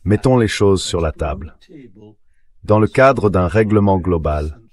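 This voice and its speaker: A man's voice: sits low, animated, a little slow, darkish tone. A man's synthetic voice reading a translated voice-over as a guest analyst discussing politics.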